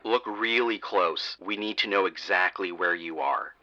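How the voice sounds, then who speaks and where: Man playing a very bright, crisp-toned emergency dispatcher. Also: sits low, a little slow, muffled recording, heavily acted. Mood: focused, insistent. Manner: procedural, questioning, controlled. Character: composed, reassuring male emergency call dispatcher. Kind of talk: horror audio drama acting.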